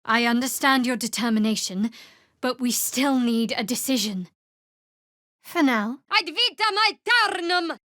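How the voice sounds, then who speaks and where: Casting: guarded young female cleric, video game. Dry wit, measured delivery, slightly high pitch, very dry studio sound. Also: animated, bright, forceful tone, no room sound. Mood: pensive, then fierce incanting. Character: guarded, devout, dryly sardonic young woman cleric adventurer.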